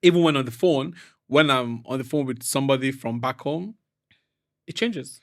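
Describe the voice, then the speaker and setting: Brief: Nigerian-accented man, podcast guest, quick talker, laughs easily, middle-pitched voice. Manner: fast-talking, laughs often, animated storytelling. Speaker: real estate professional, podcast guest. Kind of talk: casual podcast chat.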